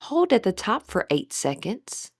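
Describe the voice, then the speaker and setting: A woman with a breathy voice gently leading a guided meditation as an instructor, in measured, scripted phrases. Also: mid-range voice, big pitch swings, no room sound, clean studio sound.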